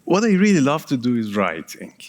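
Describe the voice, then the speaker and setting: Man, native Persian speaker, conference presenter, middle pitch, very lively intonation, casual and engaging. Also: a little fast. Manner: engaging, quizzes the audience and counts hands; casual, with small laughs and asides.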